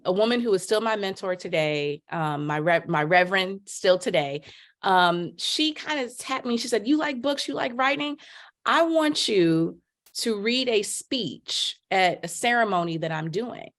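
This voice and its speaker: A middle-aged woman telling her story as a podcast guest, lively, acting out quotes with big jumps in pitch. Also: a little slow. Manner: animated, voices quotes with big pitch leaps. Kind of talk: storytelling in casual chat.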